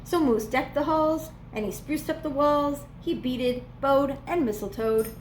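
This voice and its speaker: A woman reading a children's storybook aloud as a preschool teacher would, with expressive, playful emphasis. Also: high voice, a little slow, a little hiss or hum. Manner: dramatic, playful emphasis on repeated words.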